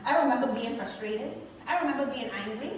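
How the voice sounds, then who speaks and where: A woman's voice: fairly high pitch, expressive, brisk. A woman with a Bahamian accent giving an emphatic motivational stage speech, stretching key words for effect. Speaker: motivational speaker and show host. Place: large venue on stage with live audience. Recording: very echoey stage sound, distant, noisy.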